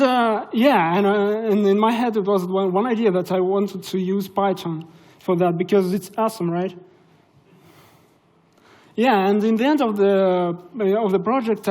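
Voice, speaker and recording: A man's voice: sits high, a little mellow. Young man with a Russian accent, casual and hesitant, presenting at a tech conference. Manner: casual, hesitant, many fillers. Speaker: conference speaker. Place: conference hall.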